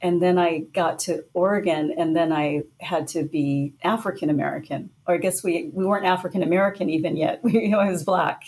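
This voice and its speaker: Middle-aged woman guest, thoughtful storyteller, a bit low pitched. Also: brisk, few pauses, a little mellow. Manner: thoughtful storytelling, light laughs. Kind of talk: conversational interview.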